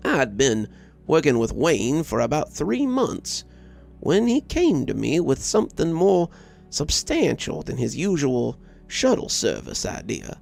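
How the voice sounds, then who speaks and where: Male story narrator, reflective and measured, with a creaky edge over light music. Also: a little high, huge pitch swings, darkish tone. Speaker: narrator reading a horror story. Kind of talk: scripted first-person story narration.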